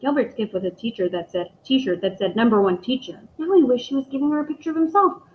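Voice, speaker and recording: Woman, schoolteacher, expressive picture book read-aloud, slightly high voice, echoey room. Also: fast, slight background noise. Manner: expressive storytelling, addressing the children directly. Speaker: elementary school teacher reading aloud to pupils. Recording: echoey room, dull muffled microphone.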